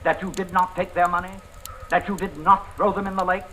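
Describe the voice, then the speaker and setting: Sharp, sardonic courtroom interrogator. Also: male voice, high-pitched, fairly even intonation. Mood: sardonic, accusing. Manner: pointed, sardonic, deliberate questioning. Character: stern, sarcastic courtroom interrogator. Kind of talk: 1950s radio drama acting. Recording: noisy old radio broadcast recording.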